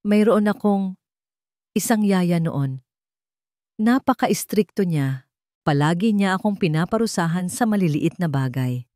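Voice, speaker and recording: Lady doing a troubled rich guy for an audio love story, earnest n halting, haunted. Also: lowish voice, expressive, mellow, no echo, studio quality. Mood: pained. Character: troubled rich young man haunted by childhood fear.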